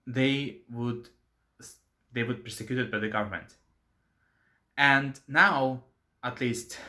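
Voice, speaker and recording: A man's voice: medium pitch, bright, forceful tone. A young man from Russia with a Russian accent, speaking English as a second language in a casual opinion vlog, earnest and full of fillers and self-corrections. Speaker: channel host.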